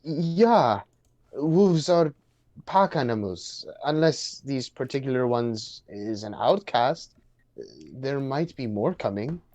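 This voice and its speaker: A man playing an earnest, anxious young man who knows animals, explaining and halting in a dramatic script reading. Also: medium pitch, animated, a little mellow. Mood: explanatory, calm.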